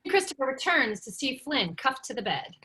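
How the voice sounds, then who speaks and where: A woman's voice: high voice, sing-song, normal speed, pushed and bright. A woman narrator reading stage directions at a script table read.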